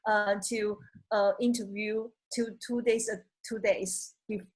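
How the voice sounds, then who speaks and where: A woman from China with a Chinese accent, speaking halting, non-native English full of restarts as a job applicant on a video call. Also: mid-range voice, little pitch movement, husky, rough recording. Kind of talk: conversational English practice.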